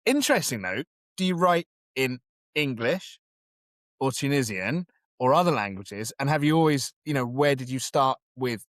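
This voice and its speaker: A man's voice: medium pitch, expressive, normal speed, fairly bright tone, dry recording, clean studio sound. A middle-aged man hosting an interview, asking curious questions and giving encouraging replies. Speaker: podcast host. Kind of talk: interview host.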